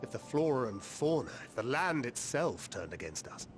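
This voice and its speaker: An actor playing a grim, worried young adventurer reasoning aloud in a fantasy video game. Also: male voice, medium pitch, very animated, normal speed, close to an everyday voice. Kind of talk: scripted video game voice acting. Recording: background music under the voice.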